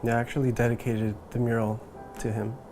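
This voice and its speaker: A man talking informally and haltingly about his own life in a casual interview. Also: mid-range voice, little pitch movement, over loud music, poor audio. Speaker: artist interviewee.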